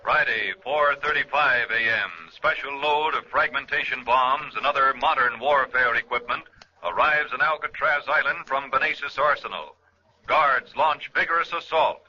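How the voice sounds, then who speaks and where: Old radio announcer guy, super sharp forceful voice, clipped bulletins, muffled recording. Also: mid-range voice, moderate pace. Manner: clipped, telegraphic.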